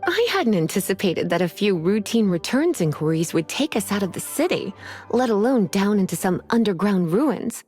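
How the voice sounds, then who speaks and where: Languid, teasing librarian mage. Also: female voice, mid-range voice, sing-song, normal speed, darkish tone, slightly rough. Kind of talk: game voice acting. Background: faint game music.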